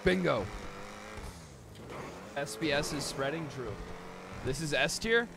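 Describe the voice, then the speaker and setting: A man's voice: a little high, animated, slow, vocal fry. A man streaming a role-play game, chatting casually with profane, teasing banter. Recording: game car and street noise.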